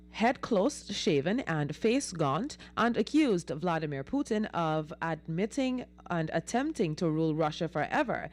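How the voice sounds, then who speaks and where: A woman's voice: fairly low pitch, darkish tone. A woman presenting the news on radio, reading from a script in a studio.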